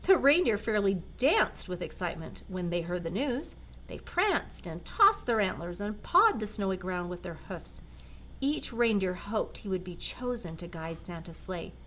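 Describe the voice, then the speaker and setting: An older woman, a grandmother, reading a children's picture book aloud in a gentle, expressive way. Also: medium pitch, moderate pace, a little hiss or hum. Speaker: storyteller grandmother.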